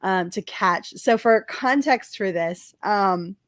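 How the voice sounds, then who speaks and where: A woman on a livestream panel, explaining things casually in an animated, emphatic and informal way. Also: mid-range voice, slow, no room sound, studio quality. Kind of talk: casual commentary, explaining to an audience.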